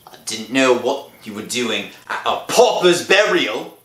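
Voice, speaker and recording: A man playing a gruff, suspicious cemetery caretaker who scolds in colloquial speech in a horror radio drama. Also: mid-range voice, very animated, unhurried, a bit bright, echoey room, recorded from a distance, pitched below the performer's own voice. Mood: suspicious, puzzled. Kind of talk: old-time horror radio drama acting.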